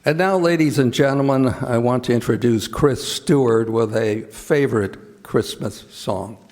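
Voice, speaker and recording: American radio announcer in a reverberant hall. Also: male voice, medium pitch, a little slow, a little mellow. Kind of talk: scripted old-time radio announcing and ad reads. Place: theater stage in a college. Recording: live theater hall, long reverb, music.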